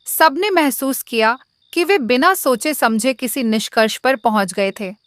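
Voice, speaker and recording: A woman with a fairly high voice narrates a scripted moral story. She speaks as the storyteller, and the recording is dry with hardly any room sound. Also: measured pace, a bit bright, no room sound.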